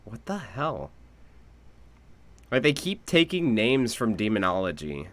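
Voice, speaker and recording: A man's voice: lowish voice, very animated, measured pace, a bit bright, no room sound. A man giving casual live game commentary as a streamer, musing aloud. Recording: music behind the voice.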